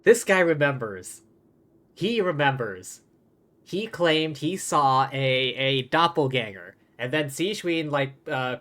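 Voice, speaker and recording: A man streaming a game, reacting casually and thinking aloud in a halting, repetitive way. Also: fairly high pitch, fairly bright tone. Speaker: live streamer.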